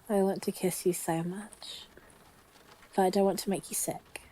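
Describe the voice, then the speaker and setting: Woman acting a lovestruck, mellow, slow-talking sick sweetheart. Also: mid-range voice, steady tone, a little hiss or hum, natural voice, low-quality recording. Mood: giddy, smitten. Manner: flustered, gushing, halting, sighing and giggly. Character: lovestruck, grumpy-but-soft woman sick in bed, newly smitten. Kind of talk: intimate audio roleplay to a listener.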